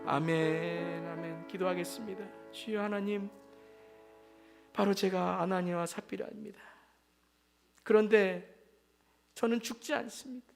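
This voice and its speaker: High-pitched man praying fervently over music. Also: fairly even intonation, unhurried, creaky, long pauses. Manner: pleading, repetitive invocations, emphatic. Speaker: pastor leading congregational prayer.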